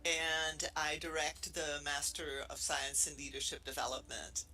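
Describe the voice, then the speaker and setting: Bright chatty man with a Brazilian accent. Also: fairly high pitch, steady tone, unhurried, rough recording. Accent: Brazilian Portuguese. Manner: playful, chatty, many restarts. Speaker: associate professor presenting. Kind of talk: casual presentation to a room and online audience.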